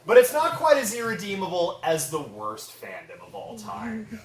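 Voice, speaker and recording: A man's voice: high voice, very animated, normal speed, slight background noise. Guy doing a mock conspiracy rant at a con panel, swoops around, echoey and a bit far from the mic. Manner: profane, theatrical, mock-conspiratorial. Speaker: comedy panelist at a fan convention. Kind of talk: comedic mock rant presentation to a live audience. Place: indoor convention panel room. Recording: roomy echo, distant convention room microphone.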